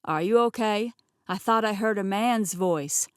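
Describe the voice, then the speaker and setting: A worried, burly male butler from an audiobook, as a woman narrator puts him on. He talks with quiet concern. The sound is dry and close. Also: medium pitch, a little slow, clean tone, no room sound, close to an everyday voice.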